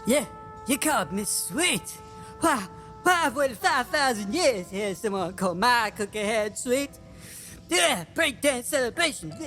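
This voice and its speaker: A bright, forward-sounding little cookie man, voiced by a woman, eager and sweet-natured. He is nervous and polite, stammering through a comic audio drama with music behind. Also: a little high, expressive, measured pace. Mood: overjoyed. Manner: stammering, deferential. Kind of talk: comedic audio drama acting.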